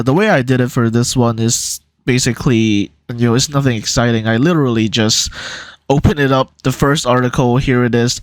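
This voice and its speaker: A young man from Hong Kong with a neutral, American-leaning accent, chatting through a quiz at home. He rambles and hesitates, often pausing with fillers, at a middle pitch. The sound is dry and close, with almost no room echo. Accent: neutral American-ish. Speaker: YouTuber. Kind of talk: casual quiz commentary.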